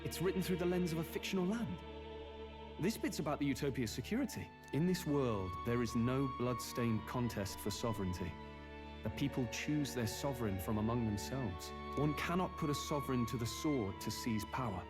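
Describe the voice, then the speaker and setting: A man's voice: mid-range voice, lively intonation, normal speed, close to an everyday voice. A man voicing a pensive young fantasy nobleman, music behind. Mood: musing. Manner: formal, pensive, articulate. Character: earnest, brooding young nobleman in a fantasy game. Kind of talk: video game dialogue acting.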